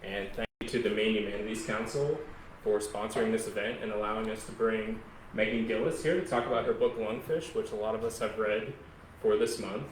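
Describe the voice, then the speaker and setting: A man hosting a library event, giving a relaxed, informal introduction of a guest author. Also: lowish voice, brisk. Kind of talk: brief introduction. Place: public library meeting room. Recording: echoey room from far off, faint noise.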